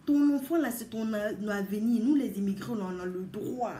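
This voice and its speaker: A woman's voice: mid-range voice, moderate pace, warm tone, echoey room. A woman vlogger giving casual advice to mothers, talking earnestly and emphatically, repeating words and addressing her listeners directly. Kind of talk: casual advice talk to viewers.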